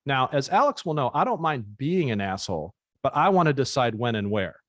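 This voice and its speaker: A man's voice: mid-range voice, big pitch swings, brisk, no room sound. American man hosting a casual podcast. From United States. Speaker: podcast host.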